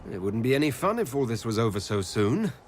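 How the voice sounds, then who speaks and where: An actor playing a confident hero who is game for a fight, in a video game cutscene. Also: male voice, mid-range voice, big pitch swings, brisk, slight background noise, close to an everyday voice.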